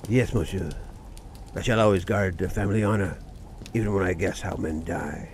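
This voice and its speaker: An actor playing a loyal family doctor in a radio suspense drama, speaking formally and deferentially. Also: male voice, deep voice, moderate pace, darkish tone, a little hiss or hum. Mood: solemn, deferential.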